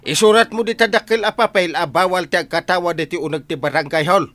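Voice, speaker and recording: Man acting comic bossy male village captain, Ilocano accent, highish voice, bright, grumbling, radio drama. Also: fast talker, no room sound.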